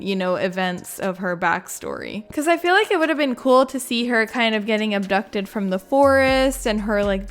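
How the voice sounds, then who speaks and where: A woman giving informal, rambling reaction commentary, sprinkling in "like" as she goes. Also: medium pitch. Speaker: video creator and game lore reviewer.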